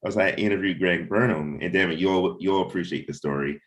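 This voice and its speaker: Man, very low-pitched voice, casual meeting talk, informal, checks in with listeners. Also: brisk, a bit bright, a little hiss or hum. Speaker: comic book creator leading a team meeting. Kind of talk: casual chat, planning and anecdotes.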